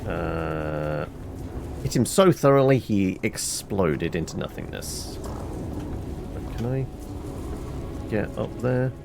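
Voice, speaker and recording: A man's voice: low voice, animated, slow pace, vocal fry, long pauses, noisy background. A man giving casual, chatty video game commentary, playfully thinking aloud to himself.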